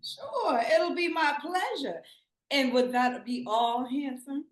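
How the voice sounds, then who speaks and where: A woman's voice: sits high, expressive, slow pace. A woman playing a sweet, flirty Southern auntie, roomy sound. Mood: sweet, hospitable. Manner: sweet, hospitable, affectionate. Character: warm flirty Southern auntie donut shop attendant. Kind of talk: live screenplay table read.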